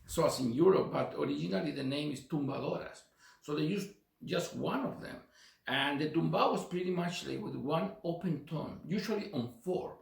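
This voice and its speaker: A man's voice: fairly high pitch, normal speed, creaky. A middle-aged man from Chile with a Chilean Spanish accent, teaching a percussion lesson with many fillers and counting beats aloud. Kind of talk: relaxed drum lesson. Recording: echoey room with faint noise.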